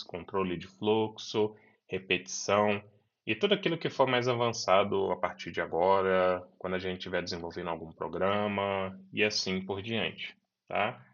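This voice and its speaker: A man teaches programming step by step, repeating key terms so students follow. His voice sits somewhat low. The recording is dull and lo-fi. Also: fairly bright tone. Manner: talks students through code step by step, repeating key words. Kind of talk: screen-recorded coding lesson.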